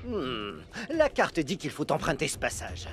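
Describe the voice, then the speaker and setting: A man playing a friendly, excitable monkey jungle guide in a cartoon, eager and theatrical. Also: fairly high pitch, a little slow, very noisy. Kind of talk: cartoon voice acting, French dub.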